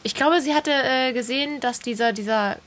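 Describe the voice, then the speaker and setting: High-voiced woman chatting enthusiastically. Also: moderate pace, fairly bright tone, slight background noise. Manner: enthusiastic, nostalgic reminiscing. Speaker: podcast co-host. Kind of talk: casual group chat.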